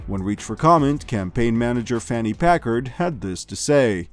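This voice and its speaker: A man playing an unflappable local radio news anchor, reading parody bulletins in a measured, deadpan announcer delivery. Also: medium pitch, faint music behind, close to an everyday voice. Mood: composed, dry. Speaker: host. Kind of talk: scripted news broadcast parody.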